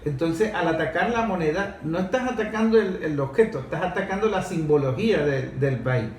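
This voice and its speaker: A man's voice: on the high side, a little fast. A man hosting an opinionated political conversation, speaking emphatically with repetition and rhetorical questions. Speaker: host of a history and politics programme. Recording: roomy, distant microphone, background noise.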